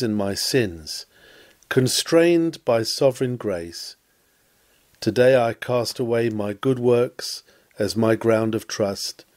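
Slow, earnest man reading a sermon. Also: medium pitch, a little mellow. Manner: earnest, exhorting, solemn. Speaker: sermon reader. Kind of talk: dramatic reading of a Victorian sermon.

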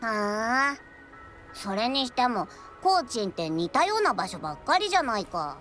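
A woman's voice: on the high side, very animated, normal speed, a bit bright. A woman voicing a blunt, informal, boyish adventuring companion in a Japanese video game. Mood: puzzled. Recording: music behind the voice.

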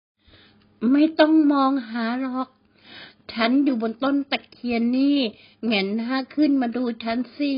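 Woman voicing a shrill, icy female tree spirit. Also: fairly even intonation, a little slow, muffled recording. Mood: teasing. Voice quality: shrill yet icy cold voice. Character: eerie young female tree spirit, teasing and lonely.

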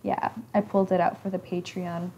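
A young woman in her mid-twenties hosts a casual live stream from an indoor room, her voice a bit raspy today. She chats easily and teases her viewers as she reads their comments, with a light laugh never far off. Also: lowish voice, little pitch movement, fairly quick, a little mellow, dull audio, a little hiss or hum. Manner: chatty, teasing, laughs often. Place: indoor room with leather couch.

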